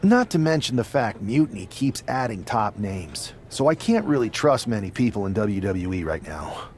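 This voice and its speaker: A man's voice: lowish voice, big pitch swings, normal speed, darkish tone, slight background noise. A man playing an earnest, casual pro wrestler in a video game, talking wryly and persuasively to a best friend. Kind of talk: cinematic game dialogue, casual.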